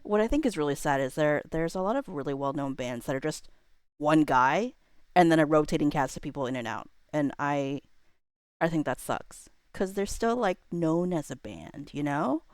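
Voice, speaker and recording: Low-voiced woman chatting casually on stream. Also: animated, moderate pace, darkish tone, no echo, studio quality. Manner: rambling, self-deprecating, informal slang. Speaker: livestreamer. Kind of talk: casual livestream chat.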